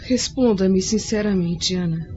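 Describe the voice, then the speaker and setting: A woman as a mellow, sad, frail daughter. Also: medium pitch, unhurried, lightly acted. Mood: wistful sadness. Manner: earnest, plaintive, affectionate. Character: blind, frail forty-year-old daughter, sad and tender. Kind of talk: dramatized audiobook acting. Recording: slightly dull audio with music underneath.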